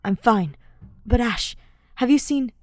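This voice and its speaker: A woman voicing a brusque, intense male swordsman in a fan fiction audiobook, curt and forceful. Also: on the high side, expressive, slow pace, dry recording, studio quality, voice pushed up. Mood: anxious.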